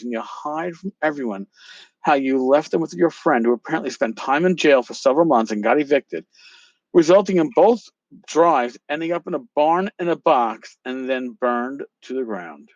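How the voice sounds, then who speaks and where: A man hosting a podcast, talking casually and reading aloud in an emphatic, theatrical, sometimes halting way, on a slightly lo-fi recording. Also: fairly high pitch, no room sound. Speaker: podcast host. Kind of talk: casual talk with dramatic reading of emails aloud.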